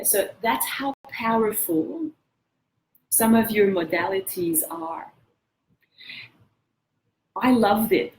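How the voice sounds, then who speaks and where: A woman's voice: mid-range voice, reverberant, slight background noise, low-quality recording. A woman with a French accent hosting a live coaching session, talking earnestly and encouraging viewers to share their feedback. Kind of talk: motivational live-stream talk.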